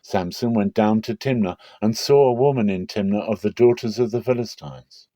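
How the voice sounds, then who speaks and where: A man narrating a dramatic reading of scripture in a solemn, deliberate and expressive storytelling style. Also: lowish voice, no room sound.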